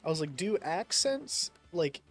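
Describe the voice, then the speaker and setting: A man's voice: on the high side, big pitch swings, a little slow, soft background music. A young man from the United States chatting casually while gaming, rambling with lots of fillers and casual swearing. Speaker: streamer.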